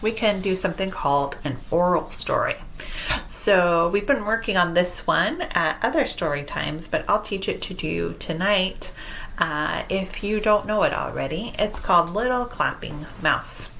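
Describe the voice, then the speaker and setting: A woman in her thirties hosting a children's storytime, reading and talking in an expressive, deliberate way. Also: on the low side, vocal fry, a little hiss or hum. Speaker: library storytime host. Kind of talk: children's picture book read-aloud and storytime talk. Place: small room at home, indoors.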